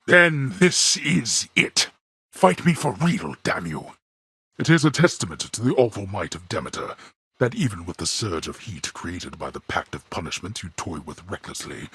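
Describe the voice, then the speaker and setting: An actor playing a grim, imperious god of the dead and stern father in a video game, with a husky, gravelly voice and formal, archaic, menacing delivery. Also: mid-range voice, a bit bright, no echo, clean studio sound, exaggerated voice. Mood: furious, commanding. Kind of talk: theatrical video game villain acting.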